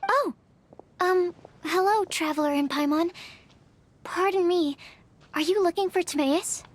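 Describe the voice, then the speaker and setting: A woman's voice: very high-pitched, a little slow. A woman playing a shy, earnest, bookish young alchemist in an anime game, polite and hesitant. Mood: nervous, polite. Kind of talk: anime video game voice acting.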